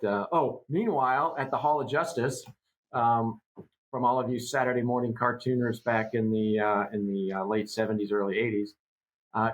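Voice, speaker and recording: A man's voice: mid-range voice, low-quality recording. Easygoing, rambling middle-aged man. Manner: rambling, conversational, many fillers. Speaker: co-host. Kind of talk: casual podcast chat.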